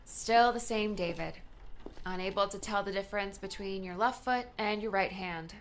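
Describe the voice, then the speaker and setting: A woman's voice: medium pitch, normal speed, slight background noise, close to an everyday voice. A woman playing an irritated young woman in an audiobook, dry, cutting and bitterly sarcastic with her ex. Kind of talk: audiobook character dialogue.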